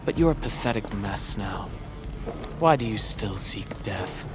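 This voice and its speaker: A man's voice: lowish voice, expressive, a little slow. A man voicing an imperious, grave video game villain with a solemn, contemptuous delivery. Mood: disdainful. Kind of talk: dramatic video game voice acting. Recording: loud music under the voice.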